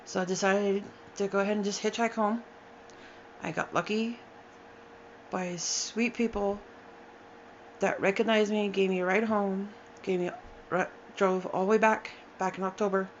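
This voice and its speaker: A woman in her forties telling a painful personal story, halting and emotional, with sighs and restarts, tired and upset. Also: mid-range voice, fairly even intonation, dull audio, slight background noise. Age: 40s. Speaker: storyteller sharing a personal account. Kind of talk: unscripted personal testimony.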